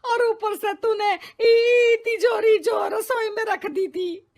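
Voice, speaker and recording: A woman voicing a scolding, naive elderly mother-in-law in a cartoon story, with loud exclaiming rustic talk. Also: extremely high pitch, steady tone, slow, heavily acted. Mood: scolding, indignant.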